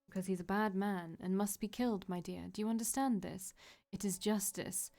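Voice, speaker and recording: A woman's voice: mid-range voice, fairly even intonation, normal speed, darkish tone, soft background music, lightly acted. Woman doing a cruel mocking mother voice in someone's head. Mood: cold contempt. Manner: cold, contemptuous.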